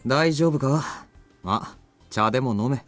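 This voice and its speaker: An actor playing a gruff, curt but caring young man in a visual novel. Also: medium pitch, moderate pace, lightly acted. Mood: curt, considerate. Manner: blunt, curt. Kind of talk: Japanese visual novel voice acting.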